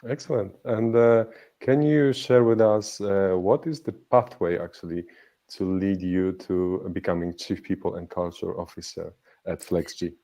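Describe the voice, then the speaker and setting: A man hosting an interview over a video call, agreeable and halting, with many fillers. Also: low voice, steady tone, dark tone. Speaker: interview host. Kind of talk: conversational interview.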